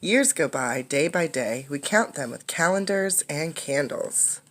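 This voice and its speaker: A woman reading a picture book aloud as a teacher, in an instructive way, pausing to explain and ask questions. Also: sits low, expressive.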